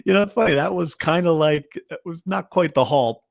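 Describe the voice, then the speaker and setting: A man's voice: fairly high pitch, fairly quick, gravelly, dry recording. A man co-hosting a sports podcast, talking through games in a casual, conversational style.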